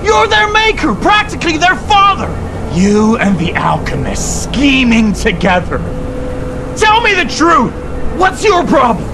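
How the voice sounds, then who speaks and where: An actor playing an enraged, half-crazed vengeful hunter in a video game, ranting and threatening, with loud music behind him. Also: male voice, very high-pitched, wildly swooping pitch, measured pace, heavily acted. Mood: furious, accusing. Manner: ranting, accusatory, menacing. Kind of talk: theatrical video game voice acting.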